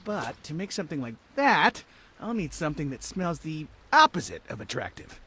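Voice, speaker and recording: A man voicing an excitable, fussy scientist in a cartoon game, expressive and wordy, with a husky, creaky voice. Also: a little high, normal speed, heavily acted. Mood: scheming, eager. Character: excitable, fussy scientist, eager and easily appalled. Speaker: voice actor in a game cutscene. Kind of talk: animated cartoon game acting.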